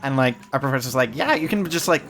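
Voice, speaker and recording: A young adult with a middle-pitched voice tells casual anecdotes while playing a game. Faint noise and clicks sit behind the voice. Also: expressive, fast talker, fairly bright tone. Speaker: let's play player and commentator.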